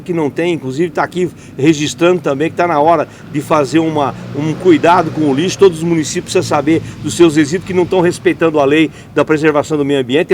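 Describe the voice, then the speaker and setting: A man's voice: on the high side, a little mellow. A Brazilian man hosting an interview, talking indignantly in long run-on sentences with many restarts. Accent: Brazilian Portuguese. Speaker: interview host. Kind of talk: conversational interview.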